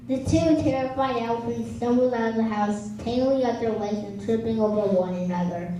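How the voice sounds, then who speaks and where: Female storybook narrator, mellow scripted delivery, recorded far from the mic with a faint noise behind. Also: a little high, normal speed. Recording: echoey room, distant mic, faint noise.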